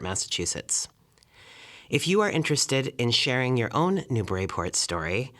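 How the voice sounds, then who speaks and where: Formal male announcer, medium pitch. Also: fairly bright tone. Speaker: announcer introducing a story archive. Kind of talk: scripted announcement.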